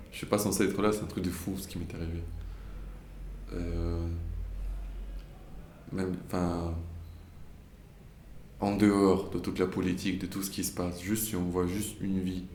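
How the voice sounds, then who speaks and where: A man's voice: low-pitched, flat delivery, unhurried, pauses often, darkish tone, roomy sound, a little hiss or hum. A young man from Ukraine, an actor giving hesitant, reflective interview answers and often searching for words. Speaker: actor interviewed about his play.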